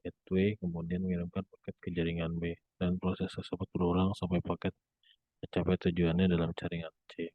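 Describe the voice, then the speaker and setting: A young man reading a scripted academic presentation as a student, in a monotone with occasional stumbles. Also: deep voice, fairly quick, no echo. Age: young adult. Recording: muffled phone-like narrow band sound.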